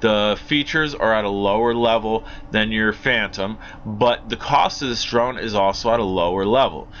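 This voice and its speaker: A man's voice: lowish voice, normal speed, a bit bright. An American man giving casual, enthusiastic drone review commentary in an informal way, repeating himself for emphasis. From Pennsylvania, United States. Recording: dull lo-fi audio with faint noise.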